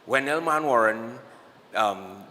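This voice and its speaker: A man with a Trinidadian accent, a guest on a morning TV show, telling animated stories and acting out what people said to him. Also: medium pitch, slow, big-room sound, slight background noise, poor audio. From Trinidad and Tobago. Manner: animated storyteller, acts out quotes. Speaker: guest, cyclist and singer. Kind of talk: casual interview chat.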